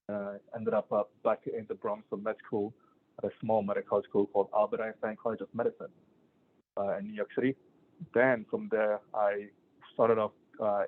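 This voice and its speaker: Low-voiced man from Ghana, tinny sound. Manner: relaxed, rambling, with many fillers and restarts. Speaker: physician giving a talk. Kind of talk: casual talk to a student club.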